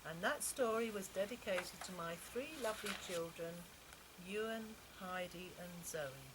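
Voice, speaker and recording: An older woman reading a children's story aloud in an expressive, heartfelt storytelling manner. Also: on the low side, a little slow. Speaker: author reading her own children's stories. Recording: noisy home-style recording, constant background noise.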